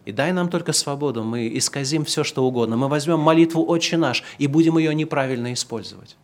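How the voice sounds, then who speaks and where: A man giving a sermon, who moves between slow, loud, emphatic passages and quieter, conversational asides to the congregation. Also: a little high. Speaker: pastor.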